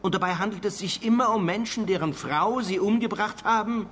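A man's voice: sits high, a little fast, fairly bright tone, slight background noise. An actor in a German radio drama playing a bitter, indignant widower, strained as he confronts his wife's killer. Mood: bitter, accusing.

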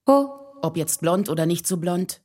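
A woman with a very husky voice in spoken stage delivery. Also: lowish voice, animated, unhurried, darkish tone.

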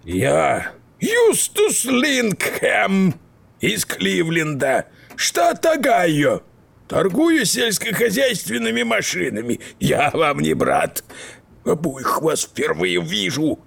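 An actor playing a grumpy, stubborn old uncle in an audio drama, grumbling querulously in a gravelly old voice. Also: male voice, high voice, big pitch swings, slow, a bit bright, exaggerated voice. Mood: stubborn, weary.

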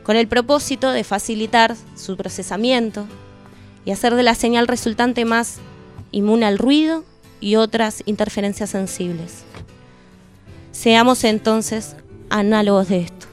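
A woman's voice: a little high, measured pace. A woman with an Argentine accent delivering a scripted spoken-word monologue as a radio presenter. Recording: music bed under the voice. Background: music.

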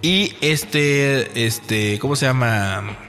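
A man's voice: mid-range voice, extremely slow, a bit bright, soft background music. A man co-hosting a casual podcast chat, rambling and hesitant with many fillers and restarts. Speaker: podcast co-host.

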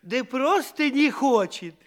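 An actor playing a silly, timid, babyish fairy-tale minister who fusses and stammers in a comedy radio play. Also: male voice, extremely high pitch, lively intonation, slow, fairly bright tone, heavily acted. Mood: playful. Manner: babyish, fussy, stammering, repeats words.

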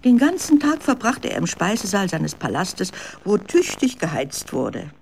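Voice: medium pitch, wildly swooping pitch, measured pace, vocal fry, a little hiss or hum. Children's radio drama narrator. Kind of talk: scripted storytelling narration for children.

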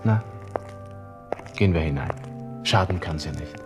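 An actor playing a brooding, self-pitying young army lieutenant with a Viennese accent, musing and halting in a radio play monologue. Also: male voice, music in the background. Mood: anguished brooding. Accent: Austrian, Viennese. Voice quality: low-pitched. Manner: musing, halting, talking to himself.

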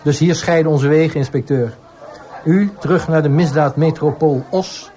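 A man's voice: a little high, moderate pace, mellow, a faint crowd, close to an everyday voice. An actor playing a respectful, good-natured junior policeman and sidekick in a radio drama. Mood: good-natured, deferential.

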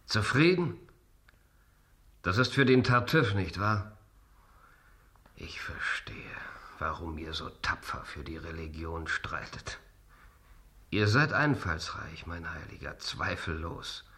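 An actor playing a proud, embattled, sardonic playwright in a radio drama, declamatory and biting. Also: male voice, sits low, sing-song, slow, long pauses, bright, forceful tone, full character voice. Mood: bitter mockery. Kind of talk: theatrical radio drama acting.

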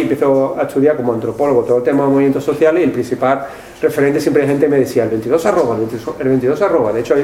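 Andalusian man, mid pitch, talk to an audience, roomy echo, faint noise. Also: darkish tone. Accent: Andalusian Spanish with some Catalan tinge. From Seville, Spain. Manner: rambling, fillers, frequent tag questions. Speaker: book coordinator, anthropologist. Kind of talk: public talk presentation. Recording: echoey room, faint noise.

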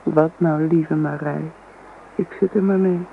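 A woman's voice: low voice, fairly even intonation, unhurried, vocal fry. Casting: hesitant young woman in a radio play, earnest, bashful, dull recording with faint noise. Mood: mildly discontented. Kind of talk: Dutch radio drama dialogue.

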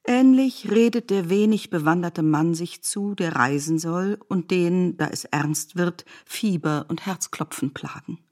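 Radio play narrator: knowing guide into myth, slightly low, husky, grave, declamatory delivery. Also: female voice, darkish tone, exaggerated voice. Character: grave, knowing female narrator who beckons listeners into myth. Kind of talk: literary radio drama narration.

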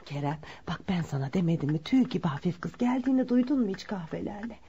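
A woman's voice: fairly low pitch, animated, fast, warm tone, husky, heavily acted. An actress playing a widowed mother who formally seeks a bride for her son in a Turkish radio play, courteous and ceremonious. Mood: hushed reassurance. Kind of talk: Turkish radio theater acting. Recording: muffled lo-fi radio drama recording.

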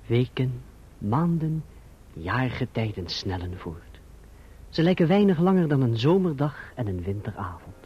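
An actor playing a courteous, earnest young gentleman in love in a radio drama, who also narrates reflectively. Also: medium pitch, a little slow, a little hiss or hum. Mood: reflective, lyrical. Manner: polite, earnest, expressive. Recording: muffled, dull old radio recording.

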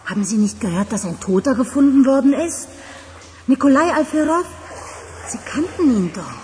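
A woman's voice: high-pitched, big pitch swings, normal speed, a little mellow, slight background noise. An actress playing a flustered, earnest woman in a German radio crime drama, urgent and agitated in her speech. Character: flustered, earnest woman fetching a sanatorium guest.

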